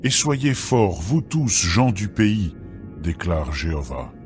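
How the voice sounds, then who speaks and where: A man giving a solemn, deliberate, dramatised reading of scripture as narrator. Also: sits low, big pitch swings. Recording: music behind the voice. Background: background music.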